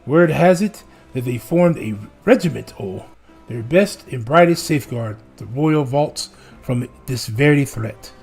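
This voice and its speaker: A man putting on a gruff streetwise rogue. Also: mid-range voice, lively intonation, unhurried, darkish tone, faint music behind. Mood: wary. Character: rough gruff male rogue, streetwise and blunt.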